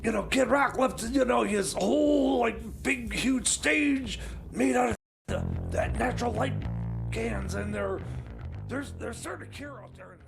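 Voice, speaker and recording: A man's voice: very high voice, lively intonation, measured pace, vocal fry, loud music behind the voice, exaggerated voice. A man playing a scatterbrained, scheming mechanic who stammers and rambles in a comedy radio play. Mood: scheming, rambling. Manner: stammering, rambling, repeats himself. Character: Jeb, scatterbrained time machine mechanic, scheming bunker survivor. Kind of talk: comedy radio theater dialogue.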